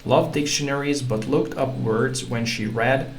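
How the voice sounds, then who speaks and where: A man hosting a language-learning channel, talking casually to camera in halting English with many restarts. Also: mid-range voice. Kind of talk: casual talk to camera, reading quotes aloud. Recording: echoey room with faint ambient noise.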